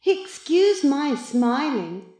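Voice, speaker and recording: A woman voicing a smug, gloating cat who has become a boy in a children's story, taunting with drawn-out mock politeness. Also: high-pitched, very animated, dark tone, reverberant, pitched above the performer's own voice, heavily acted. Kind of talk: children's storybook character reading.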